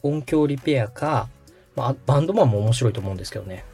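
A man's voice: medium pitch, expressive, fast, gravelly, mellow, husky, soft background music. A man hosting a podcast, interviewing and coaching a guest in a polite, instructive conversational manner. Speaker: podcast host, radio director coaching guest.

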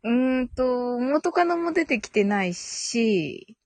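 High female voice, lively intonation, giggly, thinking aloud, casual live host chat, dry close sound. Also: takes it slowly, vocal fry, dry recording. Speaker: live audio show host.

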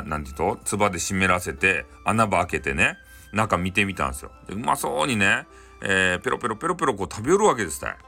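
Animated low-voiced Hakata man telling folktales. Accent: Hakata, Japan. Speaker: storyteller. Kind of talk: informal bedtime folktale storytelling.

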